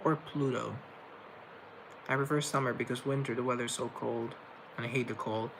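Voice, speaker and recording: A young man from Colombia hosts a relaxed livestream, rambling as he reads viewer comments. His voice carries a gravelly fry, and steady background noise hums under a laptop mic. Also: mid-range voice, moderate pace. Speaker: livestream host reading chat. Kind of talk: casual livestream chat.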